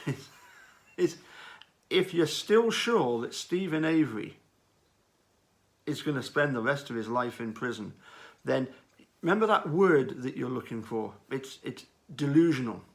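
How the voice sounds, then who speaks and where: Halting, deliberate man giving commentary. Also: on the high side, animated, gravelly, a bit bright. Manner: halting, deliberate, with frequent pauses and repetition. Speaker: true-crime commentator. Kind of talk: unscripted case commentary.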